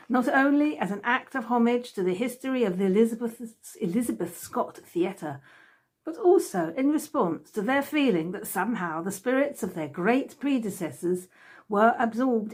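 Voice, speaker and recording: A woman reads prose aloud as an author would from their own book. Her delivery is measured and story-like, with pitch that moves in a lively way. The voice has a husky, less polished grain at a medium height. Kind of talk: scripted narration, reading aloud.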